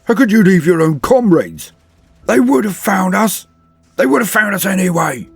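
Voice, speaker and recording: A man voicing a panicked, furious male soldier in a war story, shouting with strained urgency. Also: high voice, sing-song, normal speed, gravelly, husky, no echo, pitched above the performer's own voice, exaggerated voice. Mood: angry accusing.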